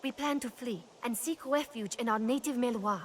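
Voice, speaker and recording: A woman's voice: a little high, normal speed, a little hiss or hum, natural voice. A woman playing a devoted, earnest lady's maid in a fantasy game, speaking in a formal, archaic way. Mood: worried pleading.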